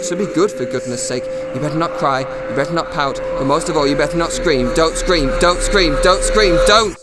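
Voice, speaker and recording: A man giving an intense, deliberate dramatic reading of a story, in the style of a radio audiobook. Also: mid-range voice, heavy background noise. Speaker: dramatic reader. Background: music under the voice.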